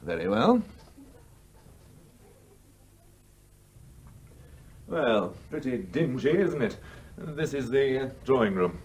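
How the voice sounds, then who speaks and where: An actor playing a fussy, evasive, businesslike English house agent in a radio play, with hesitant, brisk patter. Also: male voice, medium pitch, expressive, a little mellow, slightly rough. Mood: reluctant.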